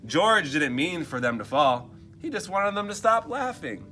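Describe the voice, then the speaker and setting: A man's voice: fairly high pitch, very animated, unhurried, sharp tone, faint music behind. A man reading a picture book aloud to children, expressively, dropping into casual muttered asides and questions for his listeners. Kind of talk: animated storybook read-aloud with casual asides.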